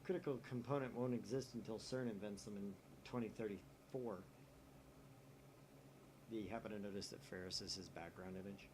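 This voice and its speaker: A man's voice: lowish voice, a little fast, noisy background. A man hosting a let's play, reading a visual novel's chat posts aloud and swearing casually.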